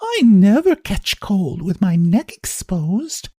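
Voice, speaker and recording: A man voicing a lively, vain, sweet-talking older woman with a very high, fluttery voice in a storybook reading. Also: no room sound, studio quality, full character voice. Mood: sweet teasing. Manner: sweetly teasing, quick.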